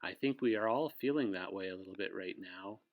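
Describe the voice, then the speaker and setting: A man's voice: deep voice, fairly quick. A man who works as a teacher reads a picture book aloud to young children, with asides to his listeners.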